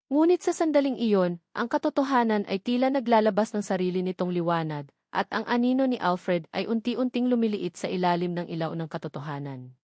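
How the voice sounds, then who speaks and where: A woman narrator with a Filipino accent delivers a scripted dramatic story. Her voice sits at a medium pitch, and the recording is dry, with hardly any room sound. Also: a little mellow, dry recording.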